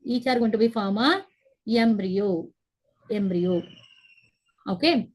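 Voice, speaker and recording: A woman's voice: medium pitch, lively intonation, takes it slowly, a little mellow, slightly rough, poor audio. A young woman teaching a biology class online over a video call, explaining step by step, repeating key terms and checking that the students follow. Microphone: laptop webcam on a video call.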